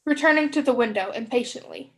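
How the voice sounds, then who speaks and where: A woman's voice: fairly high pitch, fast. A young woman reading stage directions as scripted narration in a staged play reading. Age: young adult.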